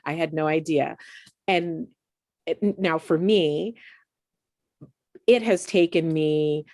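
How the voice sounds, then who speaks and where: A woman's voice: deep voice, takes it slowly, no room sound, clean studio sound. A woman in her late forties hosting a podcast interview, chatting casually and backing up her guest with frequent agreement. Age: late 40s. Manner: warm backchanneling, laughs often. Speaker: podcast host.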